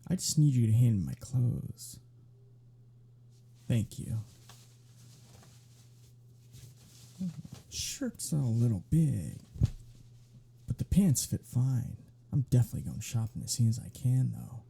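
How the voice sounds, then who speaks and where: Sly flirtatious male vampire played by a man for ASMR roleplay: very dark, breathy voice, sardonic teasing drawl. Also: medium pitch, lively intonation, a little slow, long pauses, heavily acted. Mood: playful grumbling. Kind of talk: ASMR roleplay audio drama.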